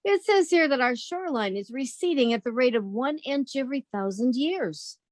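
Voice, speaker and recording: A lively, fairly high voice for a wry, sensible young single woman, acted by a woman. She wisecracks with fond exasperation in old-time radio comedy style, recorded close and dry. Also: normal speed, dry recording. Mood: excited, wry. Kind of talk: 1940s radio comedy acting and narration.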